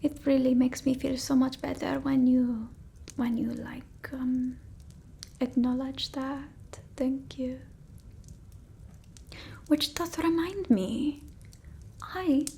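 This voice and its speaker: A melodramatic, aggrieved vampire woman murmurs. Her voice is high and mellow. She draws her words out slowly and theatrically. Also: exaggerated voice. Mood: self-pitying. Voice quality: soft, breathy whispering. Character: petulant, melodramatic female vampire from an old village. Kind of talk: ASMR roleplay, mostly whispered.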